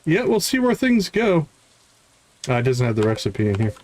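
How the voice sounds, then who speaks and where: A man's voice: medium pitch, very animated, normal speed, a little mellow, a little hiss or hum. A man doing casual live commentary as he plays a game, chatting in a friendly way with viewers. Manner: friendly, greets viewers by name. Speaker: livestream host playing a farming game.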